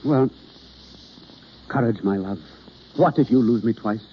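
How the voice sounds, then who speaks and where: An actor playing an instructive, theatrical understudy coaching a novice in an old-time radio drama, on a noisy, dull vintage recording. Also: male voice, mid-range voice, unhurried, lightly acted. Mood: romantic, declaiming. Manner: instructive, theatrical, hesitant with uhs. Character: male theatre understudy coaching a novice, impatient yet kindly.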